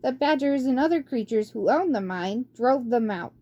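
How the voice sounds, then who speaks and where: A woman doing a squeaky, whispery old mouse scholar. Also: lively intonation, normal speed, mellow, dull audio. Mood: reciting. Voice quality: squeaky, barely above a whisper. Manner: doddering, muttering hmm and tutting. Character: ancient male mouse scholar, fussy and forgetful. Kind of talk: audiobook character voice.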